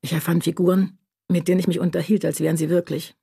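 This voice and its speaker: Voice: mid-range voice, flat delivery, fast, gravelly, dark tone, slightly rough. An actor delivering an intimate confessional monologue about a lonely childhood, in a radio drama.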